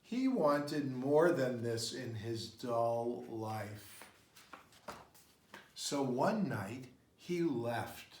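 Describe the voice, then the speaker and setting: A man reading a children's picture book aloud in an expressive, unhurried storytelling style. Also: mid-range voice, a little hiss or hum. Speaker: storybook reader. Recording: roomy, echoing sound.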